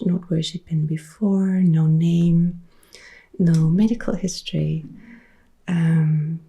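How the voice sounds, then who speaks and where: A woman in her thirties from Upper Austria with an Austrian German accent, softly whispering and quietly answering viewer questions as an ASMR host. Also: lowish voice, husky. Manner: soft whispering, mixed with quiet speech. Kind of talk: ASMR Q&A chat. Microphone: close-up sensitive ASMR mic.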